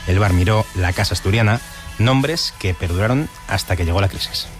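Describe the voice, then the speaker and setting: Low-voiced male radio co-host, measured. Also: faint music behind. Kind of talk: scripted radio narration.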